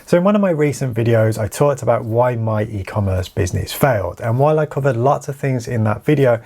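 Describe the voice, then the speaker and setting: A man's voice: mid-range voice, vocal fry, a little mellow. A man with a stuffy, slightly nasal voice from hay fever, giving candid, conversational advice as host of his own channel. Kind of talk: casual talking-head advice.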